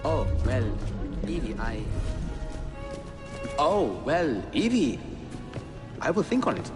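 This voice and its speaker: A man's voice: a little high, very animated, slow. A man playing a polite, bashful and bookish assassin in a video game, speaking in a courteous, hesitant way. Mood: hesitant, flustered. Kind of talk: video game cutscene acting. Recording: loud music behind the voice.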